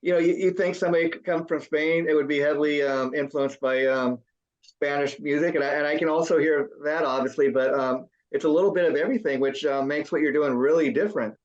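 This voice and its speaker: A man's voice: a little high, steady tone, fairly quick, dull audio. A man hosting an interview, chatting casually and rambling with lots of ums and restarts. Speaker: interview host.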